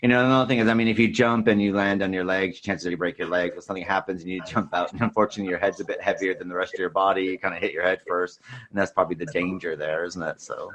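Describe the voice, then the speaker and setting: Male podcast co-host, lower-pitched voice, rambling conversational delivery in a casual movie chat. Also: steady tone, racing, fairly bright tone, rough recording. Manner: rambling, repeats "sort of thing" and "you know". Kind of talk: casual film discussion.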